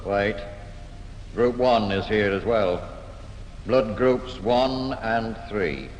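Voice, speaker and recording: An actor playing a careful, methodical forensic examiner in vintage radio style. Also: male voice, lowish voice, extremely slow. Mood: measured, intent. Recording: dull, echoey, noisy vintage radio recording.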